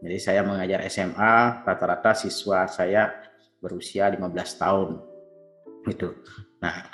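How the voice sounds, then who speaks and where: A man in his fifties, a teacher, earnestly presenting to fellow educators and clearing his throat often. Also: low voice, fairly bright tone, echoey room. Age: 50s.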